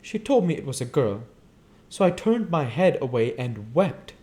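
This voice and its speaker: A man's voice: medium pitch, sing-song, moderate pace, a little mellow, voice pushed up, lightly acted. A man voicing a jaded young society wife from a novel, with a breezy, dramatic, affected manner.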